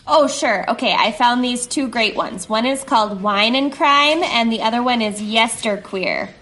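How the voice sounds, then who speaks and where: A woman's voice: fairly high pitch, moderate pace, a bit bright, close to an everyday voice. A woman playing a sceptical, gossipy police officer chatting in a folksy, droll way in an audio drama. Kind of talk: conversational audio drama acting. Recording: clear background ambience noise.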